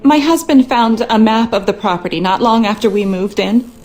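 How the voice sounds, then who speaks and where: A woman's voice: fairly high pitch, normal speed, a little mellow, soft background music, lightly acted. An actress playing a worried, skeptical wife and mother, speaking earnestly and dramatically in a game monologue.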